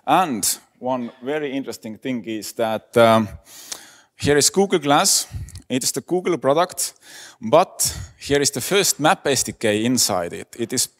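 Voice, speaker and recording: Man giving a halting talk. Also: medium pitch, lively intonation. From Estonia. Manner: hesitant, many fillers. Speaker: conference speaker. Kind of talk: lightning talk presentation. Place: conference hall. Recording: echoey conference hall.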